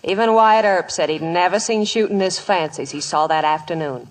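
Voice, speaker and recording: A woman's voice: fairly low pitch, fairly quick, close to an everyday voice, low-quality recording. An American woman acting as narrator of a Western tale in a 1950s radio drama. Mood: storytelling. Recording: old radio broadcast with music.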